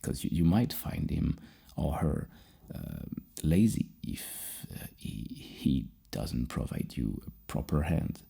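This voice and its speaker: An extremely low, breathy male voice, soft-spoken in an ASMR style. He sounds like a psychologist working through questions in a halting, rambling way, with heavy fry in a mellow tone. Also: very animated. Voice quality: very deep, gravelly, breathy. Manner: slow, halting, rambling, dropping into whispers. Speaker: ASMR roleplayer playing a psychologist giving a personality questionnaire.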